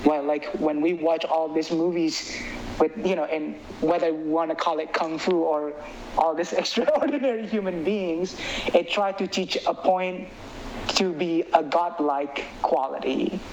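A man from Indonesia with an Indonesian accent, chatting casually as an interviewed filmmaker in an animated, rambling way full of filler phrases. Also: fairly high pitch, measured pace, slight background noise, low-quality recording. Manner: animated, rambling, peppers talk with "like" and "you know". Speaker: filmmaker and writer being interviewed.

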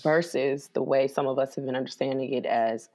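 Interview host, mid-range voice, lively and chatty, prompts the guest. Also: medium pitch. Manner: lively, asks for repeats, quick asides. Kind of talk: casual interview.

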